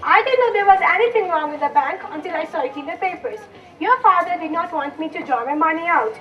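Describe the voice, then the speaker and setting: High-voiced anxious mother, acted by a young woman. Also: animated, fast, slight background noise. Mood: guarded, defensive. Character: anxious, fussing mother waiting for her husband. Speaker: student actor in a school play. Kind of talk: amateur stage play acting. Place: school stage, recorded from far off. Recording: distant, muffled stage recording.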